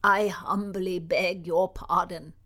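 A woman voicing a greying English nobleman who flatters and pleads in a smooth, drawn-out way. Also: on the low side. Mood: wheedling.

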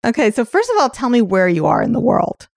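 A woman hosting a podcast interview in a chatty style, jumping between quick asides and her next question. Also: a little high, big pitch swings, creaky, no room sound, studio quality. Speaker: podcast host. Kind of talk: casual interview chat. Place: New York.

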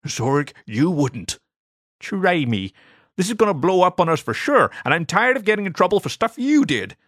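A man's voice: high voice, big pitch swings, normal speed, a bit bright, no room sound, clean studio sound, pitched above the performer's own voice, heavily acted. A man voicing an exasperated alien sibling who scolds in a hissing tone. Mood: scolding.

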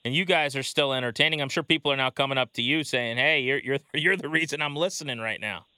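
A man's voice: mid-range voice, fast talker, pushed and bright, smooth, no room sound. A man hosting a casual sports talk interview in a conversational way. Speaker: interview host.

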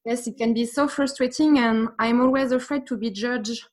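A woman with a French accent talks earnestly in a fairly high voice. She is a painting teacher and sometimes pauses to search for the right English word. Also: steady tone, darkish tone. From France. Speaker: art teacher, guest. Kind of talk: casual conversation.

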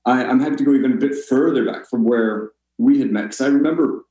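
A man's voice: medium pitch, fast talker, dark tone, reverberant, dull audio. An American man from Colorado speaking as a podcast guest, telling stories casually in a gracious, self-deprecating way. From Colorado, United States. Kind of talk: casual conversational storytelling.

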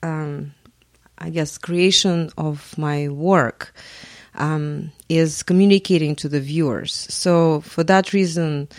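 A woman in her forties with a Russian accent, a guest on a podcast, speaking thoughtfully with frequent pauses and ums. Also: low voice, fairly even intonation, no room sound, clean studio sound. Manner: thoughtful, hesitant with many ums. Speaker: guest artist and professor. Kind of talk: podcast interview.

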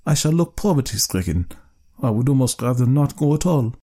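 A man's voice: mid-range voice, lively intonation, a little fast, warm tone, voice pushed up, close to an everyday voice. A man voicing a discontented young wife who longs for luxury, in a raised, plaintive voice.

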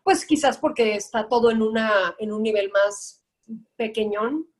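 Mexican actress, young adult woman, higher register, measured and reflective delivery. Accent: Mexican Spanish. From Guadalajara, Mexico. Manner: thoughtful, hesitant starts, measured. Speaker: actress guest. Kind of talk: casual podcast interview.

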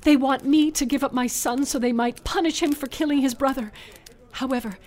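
A man voicing a shrewd, pleading widowed village woman in a storybook retelling, with a soft, breathy voice. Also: very high-pitched, fairly even intonation, brisk, voice pushed up. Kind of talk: storybook narration.

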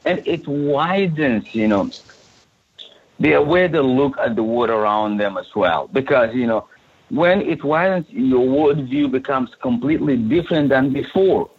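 A man's voice: mid-range voice, animated, measured pace, breathy edge, narrow, tinny audio. A man from Sudan who coordinates refugee support services speaks earnestly in a podcast interview, often restarting and repeating words.

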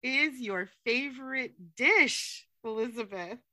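A woman's voice: a little high, slow, no echo, studio quality. A woman from the United States hosting a podcast, affirming her guest often in a casual interview. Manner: warm affirming, many mm-hmms. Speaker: podcast host. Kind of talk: casual interview and show outro.

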